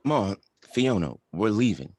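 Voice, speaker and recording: A man's voice: lowish voice, big pitch swings, a little slow, a little mellow. A man acting an insecure, humble young janitor and father at a drama table read, speaking hesitantly. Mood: uneasy, hesitant.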